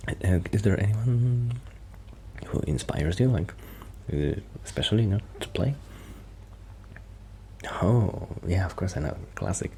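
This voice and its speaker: A man doing a soft-spoken, half-whispered ASMR roleplay as a shy, quiet musician friend, rambling hesitantly and interrupting himself. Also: fairly low pitch, lively intonation, measured pace, gravelly, pauses often, a little hiss or hum. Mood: shy, curious.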